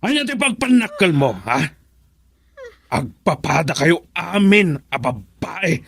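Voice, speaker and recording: A man's voice: fairly high pitch, sing-song, slow pace, gravelly, a bit bright, breathy edge, no echo, clean studio sound, full character voice. An actor in a radio drama playing an angry, jealous, threatening man who interrogates and confronts. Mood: menacing.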